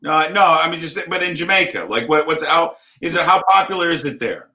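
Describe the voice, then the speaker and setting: A man's voice: fairly high pitch, expressive, fairly quick, pushed and bright, reverberant. A man hosting an interview, restarting his questions several times as he asks them. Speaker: host.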